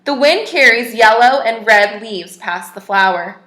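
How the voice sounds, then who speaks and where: A woman teacher reading a picture book aloud to young children, expressive and stopping to ask them questions. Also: on the high side, a little slow, echoey room.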